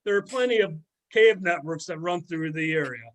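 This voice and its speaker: A man's voice: fairly high pitch, normal speed, fairly bright tone, natural voice. A man playing a sardonic, gossipy middle-aged former student in an amateur readers theater drama. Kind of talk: amateur readers theater, conversational script reading.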